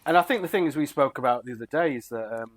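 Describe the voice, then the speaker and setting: Mid-pitched man asking tag questions. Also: very fast. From Crewe, England. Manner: conversational, hedging, ends lines on tag questions. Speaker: podcast co-host. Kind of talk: casual football chat.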